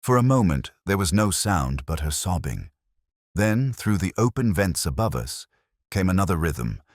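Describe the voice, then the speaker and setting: A man narrating a scripted mystery story in a measured, dramatic manner. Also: low-pitched, no room sound, studio quality. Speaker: audiobook narrator.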